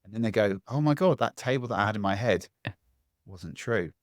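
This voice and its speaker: A man, a public speaking coach giving a lively interview answer from home, acting out voices as he talks. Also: on the low side, fairly quick, dry recording, studio quality. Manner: animated, acts out other people's voices.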